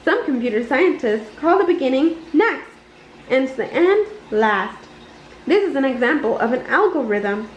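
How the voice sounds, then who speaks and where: A woman reading a children's picture book aloud with an expressive, deliberate storytelling delivery in an indoor room. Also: high voice. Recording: roomy, dull home recording with noise.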